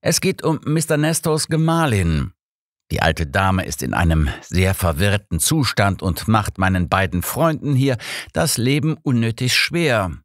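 A man voicing a sardonic, sharp-minded elderly detective in an audiobook, pointed and dryly persuasive. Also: lowish voice, animated, unhurried, no room sound, clean studio sound, pitched above the performer's own voice. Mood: smoothly polite. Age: older.

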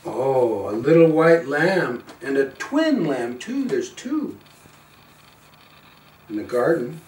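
An older man, around seventy, reading a children's picture book aloud in an expressive, singsong and playful way. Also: medium pitch. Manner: slow, singsong, playful calls with quieter asides. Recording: roomy, a little far from the mic.

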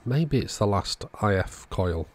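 A man, host of an electronics repair video, calmly thinking aloud as he methodically works on a radio at his workbench. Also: fairly low pitch, measured pace. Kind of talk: casual narrated repair walkthrough.

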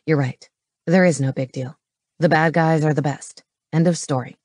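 A woman voicing a gruff, brainy man in an audiobook, speaking in a terse, matter-of-fact and intense way. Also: deep voice, fairly even intonation, moderate pace, a little mellow, dry recording, studio quality, pitched below the performer's own voice. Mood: curt. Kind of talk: audiobook character dialogue.

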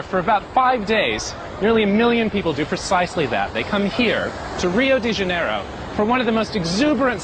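A middle-aged American man narrating a documentary in a measured, deliberate way, out on a crowded street. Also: high voice. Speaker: documentary presenter. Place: busy city street outdoors. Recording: loud crowd noise behind.

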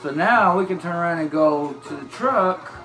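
Man, easygoing rambling gameplay guide, slightly high voice, filmed by phone with game music behind. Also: normal speed. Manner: relaxed, rambling, drawled, says y'all. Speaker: gameplay tips narrator. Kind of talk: casual gameplay walkthrough. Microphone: phone filming a TV screen.

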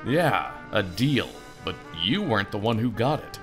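A man's voice: lowish voice, very animated, measured pace, music in the background. A man voicing a grumpy, exasperated male harbor captain who resents paperwork, in an adventure game. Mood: grudging.

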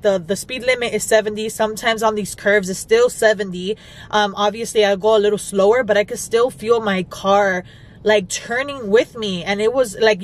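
A young woman in her twenties talking casually to the camera while driving, full of "like" and "um". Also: mid-range voice. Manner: chatty, filler-heavy talk. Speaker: vlogger. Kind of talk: casual chat. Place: inside a car. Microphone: camera on the dashboard. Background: car and road noise.

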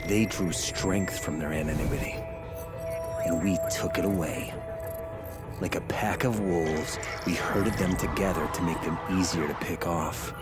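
Game antihero: menacing male cop, low and unhurried, portentous narration over loud music. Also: steady tone. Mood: ominous, menacing. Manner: measured, ominous, portentous. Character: brooding, obsessive male vigilante cop, menacing. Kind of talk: dramatic game cutscene monologue. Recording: music bed behind voice.

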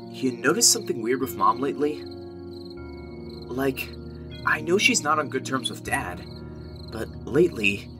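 A man voicing an anxious, guilt-ridden young goat monster prince in an animated comic dub, with a hesitant, expressive delivery. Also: mid-range voice. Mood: uneasy. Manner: hesitant, self-doubting, expressive. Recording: music behind the voice.

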